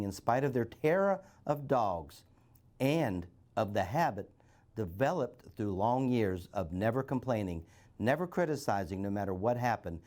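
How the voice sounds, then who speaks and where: A man with a fairly low voice reads a novel aloud. He takes it in measured phrases, as a guest reader would, with the occasional small stumble. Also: darkish tone.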